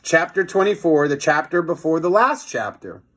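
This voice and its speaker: A man reading a children's book aloud in an expressive storytelling style, with chatty, casual asides. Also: fairly high pitch, normal speed. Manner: expressive, chatty commentary between passages.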